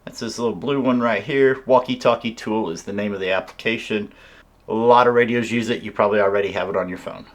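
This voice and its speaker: A man with a fairly low voice walks through a product in a casual, hands-on way. He explains each step informally, like a reviewer showing a viewer around. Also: fast talker. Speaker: radio reviewer.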